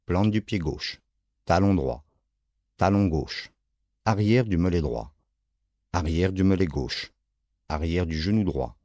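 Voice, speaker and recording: Deep, very slow male relaxation guide. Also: no room sound, studio quality. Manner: calm, measured, short phrases with pauses. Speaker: yoga nidra relaxation instructor.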